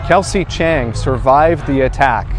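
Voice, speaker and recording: A man's voice: medium pitch, measured pace, faint music behind. An American man delivering a scripted television news report in a reporter's style.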